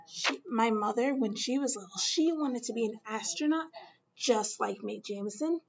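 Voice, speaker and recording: A woman teacher reading a picture book aloud to children, gentle and slow, with questions to her listeners. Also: fairly high pitch, vocal fry, lo-fi sound, a little hiss or hum.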